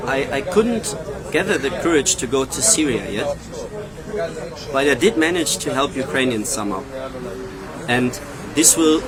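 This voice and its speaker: A man's voice: medium pitch, rough recording. A man, speaking thoughtfully and searching for words as he gives personal testimony in an interview. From Germany. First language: German. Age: 30s. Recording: loud crowd noise outdoors.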